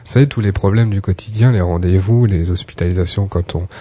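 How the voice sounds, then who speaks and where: A man hosting a radio show in a studio, with a fairly low, dark voice. He reads listener questions and introduces segments in a calm, measured way. The sound is dry and close. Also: breathy edge, dry recording. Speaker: radio host.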